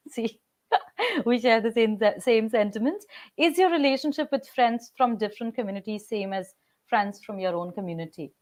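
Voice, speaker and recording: A woman hosting an interview show, lively and animated as she asks questions and addresses viewers. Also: on the high side. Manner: lively, animated, quick laughs. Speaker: show host.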